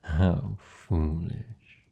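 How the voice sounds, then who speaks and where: A man playing a haughty, seductive vampire lord in a fantasy ASMR roleplay, with a British accent, a low gravelly husky voice and a deliberate, teasing, condescending delivery. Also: steady tone, dark tone, lo-fi sound, full character voice. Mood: amused condescension. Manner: slow, deliberate, aristocratic, teasing.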